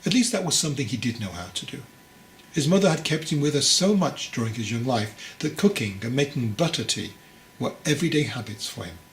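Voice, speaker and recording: A man's voice: medium pitch, very animated, fairly quick, a little hiss or hum, poor audio. A man reading his own book aloud as scripted story narration.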